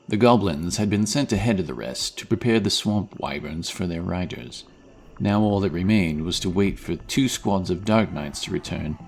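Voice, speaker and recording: A man narrating a fantasy audio drama in a steady, even storytelling manner. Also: low voice, a little hiss or hum. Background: music.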